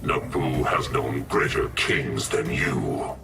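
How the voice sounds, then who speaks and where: Very deep, gravelly, ominous mystic sorcerer. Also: male voice, slow pace, far from the mic, over loud music, heavily acted. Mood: solemn. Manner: solemn, portentous, archaic. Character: ominous, ancient mystical sorcerer prophesying doom. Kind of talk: dramatic video game dialogue.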